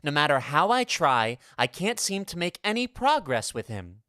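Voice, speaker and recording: An earnest teenage schoolboy acted by a woman in a vintage radio comedy. The voice sits low for a woman and moves in lively, melodramatic swoops. It is grand and conspiratorial, on a dry, close recording. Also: moderate pace, no room sound. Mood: theatrical reading.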